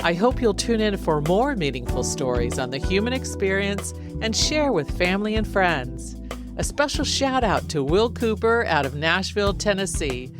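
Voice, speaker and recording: Low-voiced woman podcast host over music. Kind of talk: interview and podcast outro.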